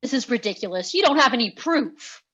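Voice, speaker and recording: A woman's voice: on the high side, lively intonation, brisk, a bit bright, husky, highs cut off. A woman playing a cornered cartoon villain, protesting and self-justifying in a melodramatic way. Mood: indignant.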